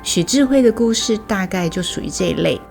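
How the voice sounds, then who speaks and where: Casting: female Taiwanese story host, scripted narration, mid-low pitch for a woman, music underneath. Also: expressive, a little fast, gravelly, darkish tone. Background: music bed.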